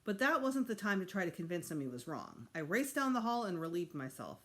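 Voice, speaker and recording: A woman teacher giving an expressive read-aloud of a children's story, with emphatic delivery. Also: on the low side, a little fast. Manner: animated, acts out dialogue with emphasis. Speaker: teacher reading a children's novel to students.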